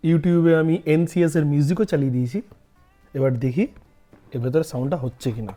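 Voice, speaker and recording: A man's voice: a little high, fairly quick, warm tone, faint music behind. A man giving a casual product review as a vlogger, explaining things straight to viewers and mixing in English words. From India. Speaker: vlogger, host.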